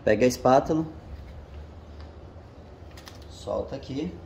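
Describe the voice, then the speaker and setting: A man's voice: mid-range voice, animated, takes it slowly, creaky, a little mellow, slight background noise. A man hosting a casual how-to video, explaining each step while he works with his hands and checking in with his viewers as he goes. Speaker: channel host. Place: home garage with the door open. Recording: echoey garage.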